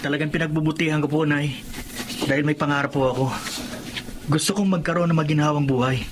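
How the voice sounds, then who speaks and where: Guy acting as filipino teen son in a radio drama, earnest n hopeful, highish voice, noisy background. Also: steady tone, fast talker, natural voice. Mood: earnest, respectful. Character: earnest, dutiful teenage son, student with big dreams.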